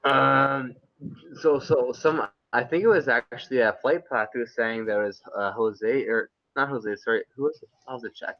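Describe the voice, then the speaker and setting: A man's voice: medium pitch, normal speed, no echo, highs cut off. A man chatting on a call, hedging and thinking aloud as he talks. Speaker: panelist. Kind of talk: casual call-in chat.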